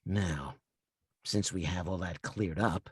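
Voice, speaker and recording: A man acts out a menacing slasher villain on a threatening phone call. The voice is very low and rough with husk, and it moves slowly and deliberately. It taunts with sinister control, recorded close and dry. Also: no echo, full character voice. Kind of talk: slasher film table read.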